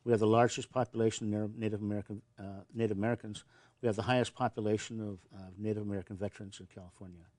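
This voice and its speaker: A man's voice: fairly low pitch, fairly even intonation, very fast, darkish tone, no room sound. A man in his sixties from Montana, a veterans service officer answering interview questions earnestly, often repeating words as he starts a thought.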